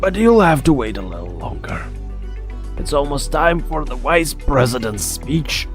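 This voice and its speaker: A man's voice: medium pitch, very animated, a little slow. A man playing a teasing, courteous business director in a game, with polite, theatrical phrasing. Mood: teasing, knowing. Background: faint music.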